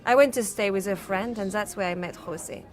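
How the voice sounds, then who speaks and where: A woman's voice: medium pitch, moderate pace, soft background music, natural voice. Worldly dancer woman, played by an actress. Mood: flirtatious, candid. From Serbia. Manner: coquettish, frank, precise formal phrasing. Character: worldly, flirtatious dancer woman, frank and unsentimental. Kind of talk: radio drama acting.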